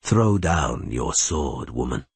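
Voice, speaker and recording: A man voicing a calm, commanding male elf rider in an audiobook, speaking slowly and with measured control. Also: very deep voice, slightly rough, no room sound, voice pushed down, full character voice.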